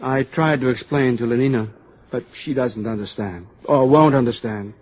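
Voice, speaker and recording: On a muffled old radio recording, an actor plays a jealous, insecure young man. His voice is rough and unclear, with a resentful edge and dramatic radio delivery. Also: medium pitch, moderate pace, natural voice. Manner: edgy, resentful. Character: bitter, insecure, jealous young male misfit. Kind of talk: mid-century radio drama acting.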